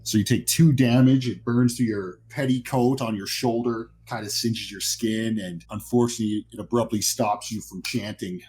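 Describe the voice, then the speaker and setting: A man's voice: fairly low pitch, steady tone, normal speed, hardly any pauses, a little mellow, slight background noise. A man working as game master, narrating a horror tabletop roleplaying game in a conversational, descriptive way. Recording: music behind the voice. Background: music.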